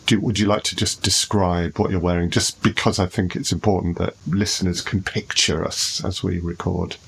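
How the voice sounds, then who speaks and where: A man hosting a relaxed podcast discussion, posing questions with dry, teasing humour. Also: sits low, normal speed, vocal fry, slight background noise. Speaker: podcast host.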